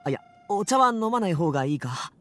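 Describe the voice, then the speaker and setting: An actor playing a brash but good-hearted young son, talking casually and hesitantly in a Japanese video game. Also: male voice, high voice, big pitch swings, fast, a bit bright, faint music behind. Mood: awkward, sincere. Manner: casual, rough-edged, hesitant.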